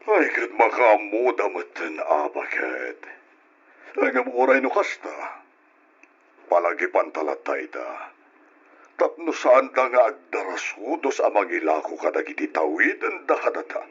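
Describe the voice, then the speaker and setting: An easygoing older father in an old radio play, hearty and practical. His voice is bright but husky, slow, and lively in pitch. The sound is dull and lo-fi, as from an old radio broadcast. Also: full character voice. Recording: lo-fi old radio drama recording.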